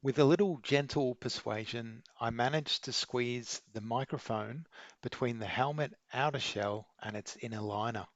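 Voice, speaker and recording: A man reading a scripted how-to narration in a measured, methodical way. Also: medium pitch, no echo, dull audio. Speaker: video maker giving an installation tutorial.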